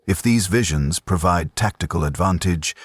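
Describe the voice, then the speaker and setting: A man voicing a pragmatic male colonel, measured, controlled and firm, in a narrated war story. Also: low voice, husky, no echo.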